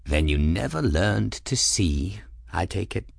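A man voicing a mild, patient old male mage who speaks gently and wearily. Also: low-pitched, animated, unhurried, vocal fry, slightly rough, no echo, clean studio sound, heavily acted. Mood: gentle, weary.

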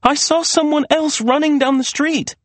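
A man's voice: very high voice, fairly even intonation, moderate pace, no echo, voice pushed up. A man voicing a bewildered, demanding young woman who questions and insists, in an audiobook story. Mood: insistent.

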